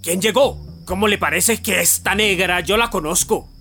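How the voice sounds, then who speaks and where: An actor playing a menacing, accusing, bossy guerrilla enforcer with a Colombian accent in a radio drama. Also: male voice, high-pitched, moderate pace. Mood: threatening. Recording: music bed behind the voice.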